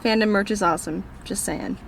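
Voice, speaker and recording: A woman's voice: mid-range voice, a little fast, creaky, a little hiss or hum, rough recording. A teenage girl from Minnesota with a northern accent, rambling cheerfully through viewer questions in a casual vlog from her car. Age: teens. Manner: bubbly, rambling, self-deprecating. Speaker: book vlogger. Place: in a parked car.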